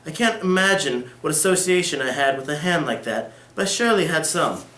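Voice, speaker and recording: A man's voice: on the high side, expressive, brisk, sharp tone. A man reading the part of a languid, careless, haughty aristocratic lady in a formal dramatic reading. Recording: echoey room.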